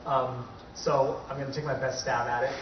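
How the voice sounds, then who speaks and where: A man with a medium-pitched voice presents a workshop in an informal way. The recording is dull and noisy, and his voice sits back from the mic. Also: flat delivery, moderate pace. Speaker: workshop presenter, ecological designer. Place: room with a workshop group, recorded from a distance. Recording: distant, echoey, muffled room recording with clear noise.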